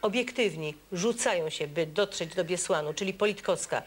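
An older woman on a TV studio panel, speaking in an animated, emphatic way. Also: on the low side, moderate pace. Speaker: panel guest.

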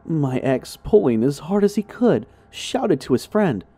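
A man's voice: a little high, big pitch swings, measured pace, warm tone, husky. A man reading a horror story in a suspenseful, theatrical narrating style. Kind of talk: dramatic scripted narration.

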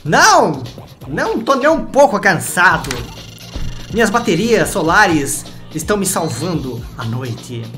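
A man with a Brazilian accent voicing a friendly, anxious game robot that speaks in a halting, pleading way. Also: high voice, very animated, full character voice. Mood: cheerful. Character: friendly male robot, anxious as its system fails. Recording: loud game music behind.

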